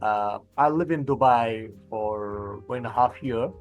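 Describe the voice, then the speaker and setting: A man's voice: medium pitch, a little slow, phone-quality sound. A man in his thirties from Pakistan, hosting an interview over a headset from a room with bookshelves, asking plain, loosely worded questions. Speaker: host. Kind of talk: casual interview over video call. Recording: faint background music.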